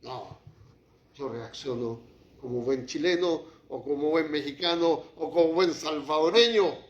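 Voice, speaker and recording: A man preaching a sermon as pastor, shifting from quiet, low passages to raised, heated lines. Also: unhurried. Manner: impassioned preaching, drops to quiet, then rises to acted outbursts. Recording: echoey hall, muffled lo-fi sound.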